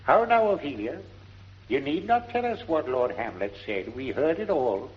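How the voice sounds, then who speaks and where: An actor playing a fussy, deferential, scheming old courtier in 1940s British radio Shakespeare, raspy, with Received Pronunciation. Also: male voice, a little high, sing-song, normal speed. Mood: officious advice. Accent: English Received Pronunciation. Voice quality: very husky, raspy. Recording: dull 1940s radio recording.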